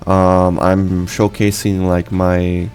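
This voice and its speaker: A young adult man whose first language is Portuguese, speaking English with a Brazilian accent and giving a casual, halting channel update full of fillers and restarts. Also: low-pitched, completely flat delivery, a little mellow, faint music behind. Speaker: channel host.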